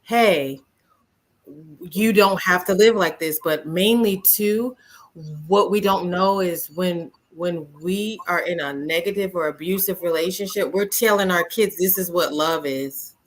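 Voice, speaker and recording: A woman's voice: fairly low pitch, measured pace. A woman hosting a casual live stream, speaking emphatically and throwing rhetorical questions at her listeners. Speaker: host.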